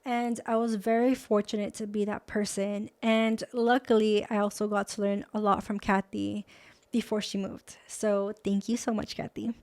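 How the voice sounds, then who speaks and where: A woman's voice: mid-range voice, little pitch movement, normal speed, a little mellow. A woman in her twenties from Los Angeles, hosting and introducing herself in a chatty, upbeat way with a few Spanish words mixed in. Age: 20s. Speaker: host. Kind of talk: friendly scripted video introduction.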